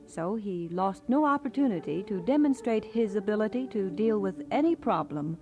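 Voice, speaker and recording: A woman giving measured, scripted narration as the storyteller of a radio drama. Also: mid-range voice, lively intonation, a little mellow, muffled recording. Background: music.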